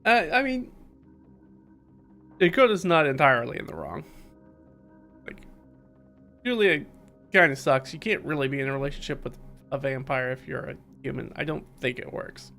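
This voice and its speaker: A man's voice: fairly high pitch, moderate pace, creaky, long pauses, bright, forceful tone. A man doing live playthrough commentary on a visual novel, reading dialogue aloud and reacting in an informal, slangy, wry way. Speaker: streamer playing a visual novel. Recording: faint background music.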